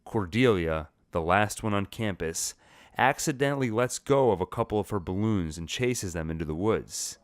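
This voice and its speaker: A man's voice: on the low side, expressive, normal speed, clean tone, no room sound, studio quality. A man giving a scripted, dramatized narration of a comic story. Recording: music bed behind the voice.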